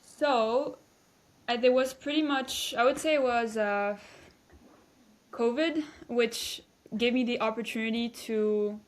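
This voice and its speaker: A woman's voice: on the high side, slow pace. A woman in her twenties from Belgium, a guest chatting casually with hesitant restarts and fillers. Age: 20s. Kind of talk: casual interview chat.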